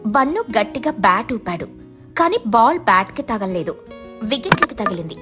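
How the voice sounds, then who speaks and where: A woman's voice: fairly high pitch, sing-song, normal speed, over music. A woman reading scripted narration for a children's cartoon story.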